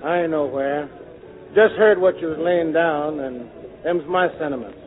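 A man's voice: fairly high pitch, unhurried, faint music behind, close to an everyday voice. An actor playing a cynical, resentful, slangy agitator pressing his point in a 1940s radio drama. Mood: cynical, insistent. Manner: slangy, insistent. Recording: tinny, faintly noisy old radio.

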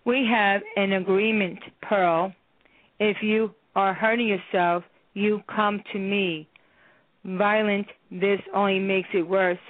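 A woman acting a stern female counselor and therapist in a radio play, speaking slowly and firmly. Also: mid-range voice, fairly even intonation, dry recording, lightly acted. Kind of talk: amateur radio play reading. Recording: tinny phone-line radio broadcast.

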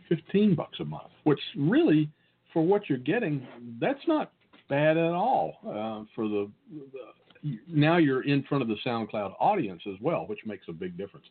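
The audio is narrow and phone-like. A man with a Southern US accent talks in a casual, conversational way as a podcast co-host. His pitch sits in an ordinary male middle range. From Southern United States. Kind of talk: casual tech tips chat.

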